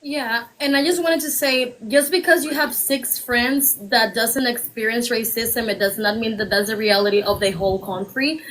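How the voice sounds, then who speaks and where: Woman arguing steadily, slightly high voice. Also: poor audio. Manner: steady, deliberate, builds points by repetition. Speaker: livestream guest. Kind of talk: earnest argument on a livestream.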